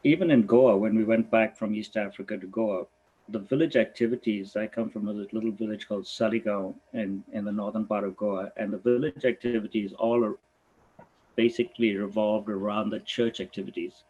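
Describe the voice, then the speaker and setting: A man in his sixties, a veterinarian originally from Goa, speaking in a thoughtful, halting way and laughing easily. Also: lowish voice, steady tone, dark tone, dull audio, a little hiss or hum. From Goa, India. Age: 60s. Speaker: veterinarian guest. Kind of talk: reflective conversation.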